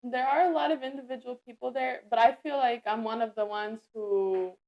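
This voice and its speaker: A young woman from the United States, a guest, chatting in a relaxed way with filler words. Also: fairly high pitch, brisk, lo-fi sound. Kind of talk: casual interview chat.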